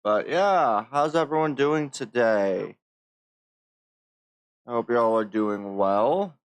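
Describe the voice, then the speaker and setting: An American man chatting casually on a gaming livestream, subdued and halting, a little under the weather with a sore throat. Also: medium pitch, clean tone, dry recording. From United States. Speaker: livestreamer, VTuber. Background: music.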